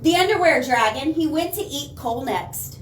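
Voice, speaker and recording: A woman reading picture books aloud to young children, with expressive dramatic emphasis and chatty asides to her listeners. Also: sits high, normal speed. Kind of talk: animated storytime read-aloud for children. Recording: roomy, distant mic, faint noise.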